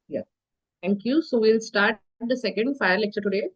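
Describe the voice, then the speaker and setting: A woman giving an online insurance lecture, sounding nasal from a cold, explaining steadily with filler pauses. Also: on the high side, dull audio. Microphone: video call.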